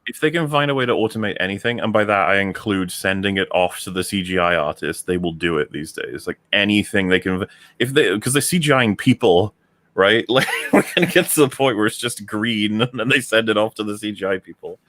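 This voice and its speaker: A Welsh man chatting as a podcast guest, ranting and joking quickly. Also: fairly low pitch, fairly bright tone, dry recording. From Wales. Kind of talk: casual podcast chat.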